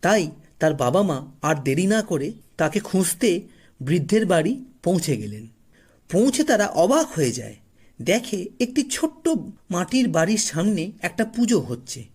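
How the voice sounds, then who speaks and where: A man narrates a scripted horror audio story. His voice is fairly high for a man and husky, in a storyteller's delivery. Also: very animated, a little slow, a little mellow.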